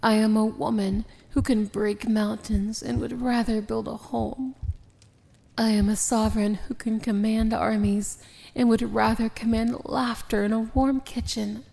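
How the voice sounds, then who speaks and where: A powerful dark fantasy princess, voiced by a woman, speaks very slowly in a mellow, creaky voice. She is tender and solemn, with formal, poetic phrasing that often slips into a whisper, in the style of romantic ASMR roleplay. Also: a little high, little pitch movement. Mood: tender, earnest.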